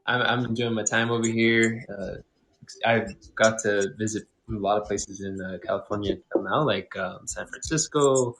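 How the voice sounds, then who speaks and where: A man in his twenties from Hawaii, a guest chatting casually in a livestream interview, relaxed with many fillers. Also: fairly low pitch, moderate pace, a little hiss or hum, rough recording. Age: 20s.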